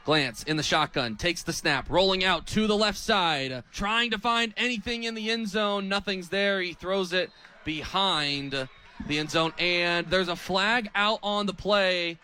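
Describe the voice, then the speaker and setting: A man's voice: high-pitched, measured pace, bright, forceful tone. A man in his twenties with an American accent calling live football play-by-play as a radio announcer. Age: 20s.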